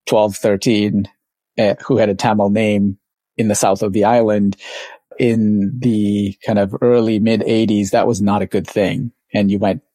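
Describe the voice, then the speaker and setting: A middle-aged man from Sri Lanka telling his story casually as a podcast guest, haltingly, thinking aloud. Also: on the low side, mellow, dry recording. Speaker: podcast guest, company co-founder.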